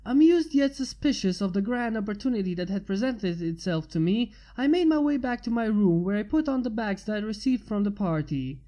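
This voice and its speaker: A woman's voice: mid-range voice, lively intonation, brisk, hardly any pauses, mellow. A woman reading a scripted story aloud as its narrator. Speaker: fan fiction reader. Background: music.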